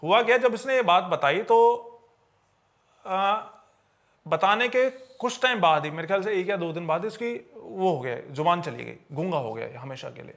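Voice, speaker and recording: A man's voice: sits high, animated, moderate pace, gravelly, fairly bright tone. A man telling paranormal tales in a conversational podcast style, often repeating and rephrasing phrases for emphasis. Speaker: podcast storyteller.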